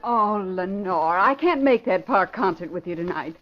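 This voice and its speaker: An actress playing a breezy, wisecracking young woman photographer in an old radio drama, slangy and brisk. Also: mid-range voice, expressive, lightly acted. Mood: breezy, sardonic. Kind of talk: 1940s radio acting. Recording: muffled old radio recording with hiss.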